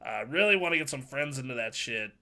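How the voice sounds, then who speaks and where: A man co-hosting a gaming podcast, with a nasal but bassy voice, talking loosely, joking and swearing freely. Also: expressive, quick pace, creaky, bright, forceful tone. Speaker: podcast co-host. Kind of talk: casual gaming chat. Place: home streaming setup.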